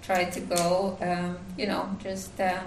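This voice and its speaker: A woman from Finland with a Finnish accent, giving a devotional talk at a retreat, halting with many fillers and restarts. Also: lowish voice, monotone, echoey room, recorded from a distance, slight background noise, low-quality recording. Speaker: retreat speaker.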